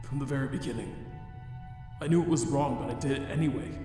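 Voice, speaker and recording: A man playing a remorseful, self-loathing monster scientist in a comic dub, speaking in a formal, deliberate way. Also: medium pitch, mellow, lightly acted. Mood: regretful. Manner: formal, deliberate, grave wording. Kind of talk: dramatic fan comic dub. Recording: very echoey long reverb under music.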